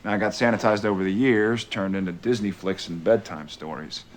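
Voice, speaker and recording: An actor playing an earnest, insistent younger brother in a supernatural TV drama. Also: male voice, lowish voice, steady tone, brisk, a little hiss or hum, natural voice. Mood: earnest, explaining. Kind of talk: TV drama acting.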